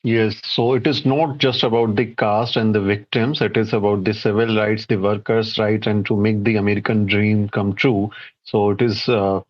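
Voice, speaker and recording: A man with an Indian accent hosting a panel interview, speaking haltingly with restarts, on lo-fi call audio. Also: on the low side, flat delivery. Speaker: interview host.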